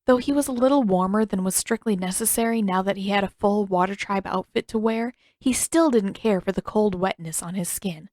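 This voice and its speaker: A woman's voice: mid-range voice, fairly quick, few pauses, darkish tone, no room sound. Woman narrating fiction, very dry sound. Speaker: audiobook narrator.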